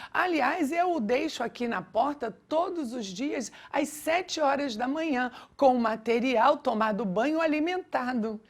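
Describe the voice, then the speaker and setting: A middle-aged Brazilian Portuguese-speaking woman teaching a lesson with personal anecdotes in an emphatic way, acting out reported dialogue. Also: a little high. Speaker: teacher of a religious education lesson.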